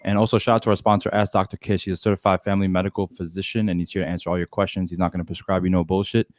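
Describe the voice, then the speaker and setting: A young man rattles off a sponsor read for his podcast, plain and casual. His voice is very deep and flat, and he talks fast. The sound is dry and close. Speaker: podcast co-host reading sponsors. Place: podcast studio.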